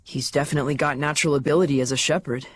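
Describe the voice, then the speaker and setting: A man's voice: on the high side, steady tone, rapid-fire, a bit bright, a little hiss or hum, natural voice. An actor playing a youthful male companion, dry, composed and articulate, in a fantasy game scene. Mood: dry, casual. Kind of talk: dubbed fantasy game cutscene acting. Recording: orchestral music behind the voice.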